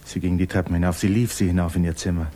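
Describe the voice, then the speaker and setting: A man narrating a literary 1950s radio drama in an expressive, dramatic storytelling manner. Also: deep voice, racing. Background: violin music.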